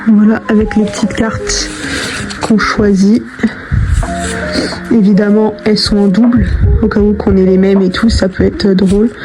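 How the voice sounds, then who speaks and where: A woman's voice: medium pitch, flat delivery, measured pace, pauses often, music in the background, low-quality recording. A young adult woman chatting casually in slangy, informal language, thinking aloud as she crafts. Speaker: online video creator. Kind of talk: casual chat while crafting.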